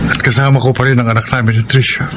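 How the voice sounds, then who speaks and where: An actor with a Filipino accent playing a kind, wealthy young employer in a radio drama, earnest and courteous. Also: male voice, medium pitch, little pitch movement, quick pace, heavy background noise, close to an everyday voice. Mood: grateful. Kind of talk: Tagalog radio drama acting.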